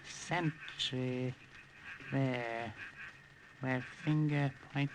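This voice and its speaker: An actor playing a watchful male jungle scout who speaks hushed, halting broken English in an old-time radio drama. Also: medium pitch, fairly even intonation. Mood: hushed, wary. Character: terse, watchful native jungle scout in Burma. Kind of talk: 1940s-50s radio drama acting. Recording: noisy, distant old radio recording.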